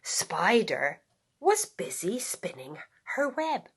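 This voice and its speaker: A woman reading a children's bedtime story aloud in a theatrical, drawn-out way, dropping into hushed tones. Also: mid-range voice, sing-song, husky. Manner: theatrical, drawn-out, with hushed whispers and acted yawns. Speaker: storybook reader, school staff member.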